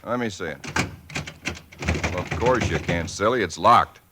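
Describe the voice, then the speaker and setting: An easygoing young husband in an old radio thriller. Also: male voice, sits low, a little slow, background noise. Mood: tired, teasing. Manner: plain-spoken, easygoing, a little dry. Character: weary young husband narrating his own story. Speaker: narrator and husband. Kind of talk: 1940s radio acting.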